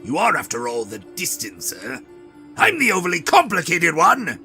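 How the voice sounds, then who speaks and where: Manic clown villain, wildly swooping, bright and sharp; comic-dub role, big theatrical delivery. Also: male voice, on the high side, huge pitch swings, normal speed, faint music behind, exaggerated voice. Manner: theatrical, swings from shouting to hushed. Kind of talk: fan dub of a motion comic.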